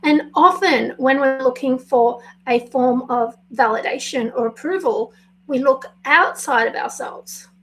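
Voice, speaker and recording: A woman life coach speaking live and unscripted, her voice husky today, talking slowly and choosing her words with care. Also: sits high, fairly bright tone. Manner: slow, pausing, careful with words, apologetic. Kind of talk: spontaneous live video talk.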